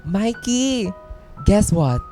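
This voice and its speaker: An earnest Filipino office worker, guitar underneath. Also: female voice, medium pitch, big pitch swings, very slow, warm tone. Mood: excited. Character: earnest young office worker woman, self-doubting, healing from toxic job. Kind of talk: radio drama acting, conversational.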